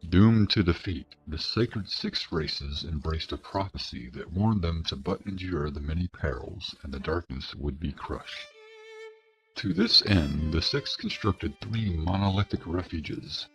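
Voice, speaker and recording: A man's voice: sits low, vocal fry, poor audio. A man narrating scripted fantasy lore in a solemn, grandiose storytelling manner. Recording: music bed under narration. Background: music.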